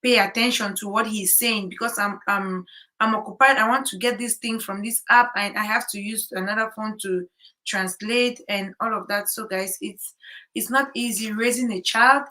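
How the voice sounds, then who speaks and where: A woman's voice: medium pitch, fairly even intonation, normal speed, sharp tone. A woman talking casually about life as a new mother, chatty with many fillers and repeated words. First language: English. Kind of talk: casual chat. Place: home in Korea.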